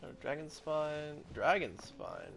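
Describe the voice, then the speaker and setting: A man's voice: fairly high pitch, expressive, slow pace, vocal fry. A young man giving casual gameplay commentary, slangy and sweary, reacting in short bursts. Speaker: game streamer. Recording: game music behind. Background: game music.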